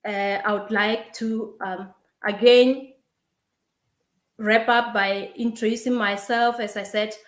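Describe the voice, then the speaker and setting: A young woman from Lesotho whose first language is Sesotho, giving a halting webinar presentation over a video call, with many fillers and repeated words. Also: medium pitch, fairly even intonation. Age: young adult. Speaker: webinar speaker. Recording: roomy video call audio.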